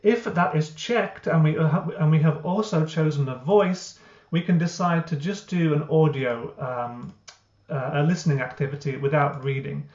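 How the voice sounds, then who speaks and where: Man, tutorial walkthrough, explanatory, fillers, somewhat higher voice, dull lo-fi sound. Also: moderate pace, darkish tone. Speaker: software founder giving a tutorial. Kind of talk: screen-recorded software walkthrough. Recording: roomy, dull lo-fi.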